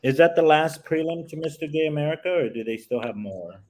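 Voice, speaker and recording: A man's voice: a little high, expressive, brisk, darkish tone, slight background noise. Rambling male panel host. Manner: rambling, many ums and restarts. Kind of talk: casual panel chat.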